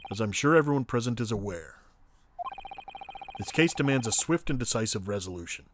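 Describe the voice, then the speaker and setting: A man voicing a stern, authoritative courtroom judge in a game fan dub, speaking formally and gravely. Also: lowish voice, expressive, a little fast, noisy background, natural voice. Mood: solemn. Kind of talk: video game fan voice acting.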